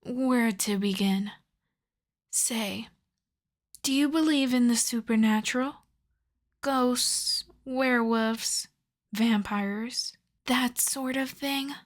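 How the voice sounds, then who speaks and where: A woman playing a polite, coyly obsessive vampire lab technician in an ASMR roleplay, speaking softly and courteously, often breathily, straight to the listener. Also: fairly high pitch. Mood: playful, confiding. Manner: soft-spoken, courteous, measured, often breathy.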